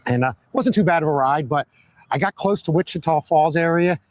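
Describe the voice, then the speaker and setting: A hoarse man hosting a live-stream interview outdoors, upbeat and fond of repeating phrases for emphasis. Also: on the high side, fairly quick. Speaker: host. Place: outdoors at a Christmas light display by a road.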